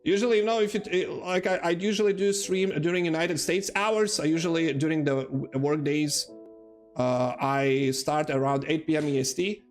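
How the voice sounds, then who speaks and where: A man's voice: fairly high pitch, steady tone, moderate pace, soft background music. A man from Lithuania with a Lithuanian accent, chatting loosely with his viewers as he plays, rambling and poking fun at himself. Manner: rambling, chatty, self-mocking, talks to chat. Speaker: streamer. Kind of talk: casual live-stream gaming commentary.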